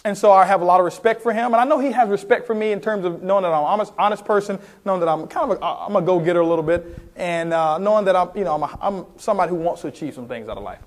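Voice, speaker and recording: A man's voice: high voice, racing, hardly any pauses. A man speaking as a sales trainer at a motivational seminar, emphatic and often putting questions straight to his listeners. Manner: emphatic, addresses the audience with questions. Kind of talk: motivational sales seminar talk with role-played phone calls.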